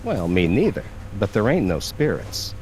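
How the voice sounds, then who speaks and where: An actor playing a gruff, blunt backwoods factory worker with folksy talk in a video game. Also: male voice, on the low side, big pitch swings, moderate pace, a little mellow, a little hiss or hum. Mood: dismissive. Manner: blunt, folksy rural grammar. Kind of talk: cartoonish video game dialogue.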